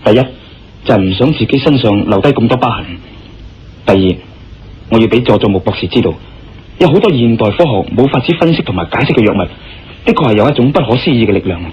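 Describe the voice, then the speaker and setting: A man with a Hong Kong Cantonese accent playing a confident, persuasive injured adventurer in a radio drama, smooth-talking and assertive. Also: medium pitch, animated, fast talker, long pauses, darkish tone, slight background noise, close to an everyday voice. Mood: coaxing, earnest. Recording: dull lo-fi radio drama recording. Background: music.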